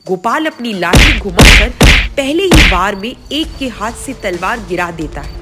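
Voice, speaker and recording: A woman storyteller giving dramatic scripted narration of an animated tale. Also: medium pitch, a little slow, soft background music.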